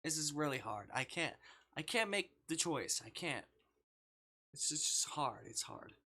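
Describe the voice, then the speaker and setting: A man's voice: on the high side, very animated, unhurried, sharp tone. A man live-streaming a game, reading a visual novel aloud dramatically with playful asides.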